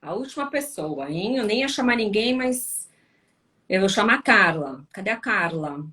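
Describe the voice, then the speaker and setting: A woman's voice: medium pitch, expressive, moderate pace, bright, forceful tone. A tired woman from Brazil hosting a casual live stream, answering viewers with lots of tag questions. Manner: chatty, frequent tag questions.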